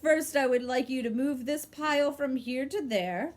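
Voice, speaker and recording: A woman voicing a smooth, overly polite gentleman in a storybook reading, genteel in manner. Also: high-pitched, moderate pace, voice pushed up, close to an everyday voice.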